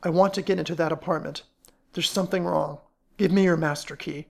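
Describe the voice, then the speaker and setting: A woman's voice: sits low, moderate pace, vocal fry, darkish tone. A woman voicing a courteous, authoritative male detective with polite, educated phrasing in an audiobook detective story. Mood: stern, urgent.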